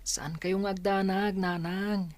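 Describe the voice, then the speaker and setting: A woman's voice: medium pitch, fairly even intonation, unhurried, darkish tone, no echo, natural voice. A woman playing a dutiful, considerate young student, earnest and polite, in an audio drama. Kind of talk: radio drama dialogue.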